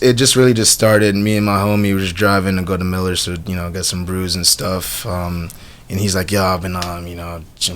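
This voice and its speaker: Low-pitched young man from Jamaica, slangy storyteller. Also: a little hiss or hum. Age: 20s. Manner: slangy, animated storytelling with frequent "you know what I'm saying". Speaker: rapper being interviewed. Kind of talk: casual radio interview answers. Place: small radio studio. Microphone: studio microphone.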